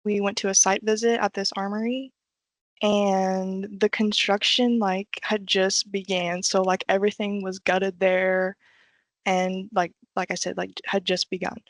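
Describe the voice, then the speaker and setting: A woman's voice: medium pitch, level tone, unhurried, no echo. A young woman from Illinois, an intern, chatting casually as a guest and peppering her talk with "like". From Illinois, United States. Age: young adult. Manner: informal, frequent "like". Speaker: engineering intern, podcast guest. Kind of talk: casual chat.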